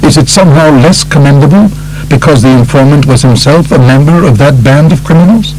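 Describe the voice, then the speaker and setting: A man voicing a solemn judge in an audiobook, formal, measured and rhetorical. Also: fairly high pitch, darkish tone, a little hiss or hum, lightly acted, low-quality recording. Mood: grave, questioning. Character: solemn male judge weighing a criminal informant's worth. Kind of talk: audiobook character reading.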